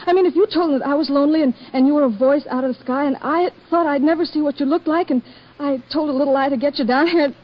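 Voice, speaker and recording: Actress doing lonely sorry young american woman, stammery, high voice, old radio play, muffled. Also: fast talker, lightly acted. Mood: sheepish, pleading. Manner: hesitant, stammering. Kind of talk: old-time radio drama acting. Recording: dull lo-fi old radio broadcast.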